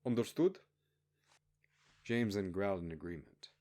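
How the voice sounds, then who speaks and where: Male, low pitch, scripted story narration, audiobook reader. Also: normal speed. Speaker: author narrating own novel.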